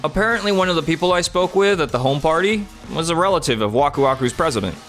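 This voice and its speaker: A man voicing a humble, sincere young salesman in a manga dub, gentle and earnest. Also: fairly high pitch, animated, quick pace, over loud music, close to an everyday voice. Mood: modest. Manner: earnest, modest. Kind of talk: anime-style dubbed dialogue.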